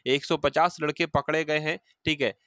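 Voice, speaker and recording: A man with a slightly high voice explains things informally, like a coach to exam candidates. He repeats key points, poses quick questions and answers them himself. The recording is dry, with no room sound. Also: steady tone, fairly bright tone, dry recording. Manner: repeats points, asks and answers own questions, checking in often. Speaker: exam-news presenter and coach.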